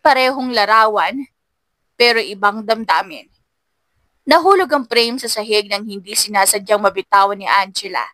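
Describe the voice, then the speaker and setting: A woman's voice: mid-range voice, normal speed, bright, forceful tone, no echo, rough recording. A woman narrating a scripted audiobook story in an emotive, dramatic manner.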